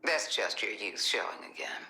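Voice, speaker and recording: An actress playing a commanding middle-aged government director in a video game, measured and coolly manipulative. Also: female voice, very deep voice, animated, gravelly, pushed and bright, reverberant, full character voice. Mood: firm, controlled. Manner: measured, authoritative.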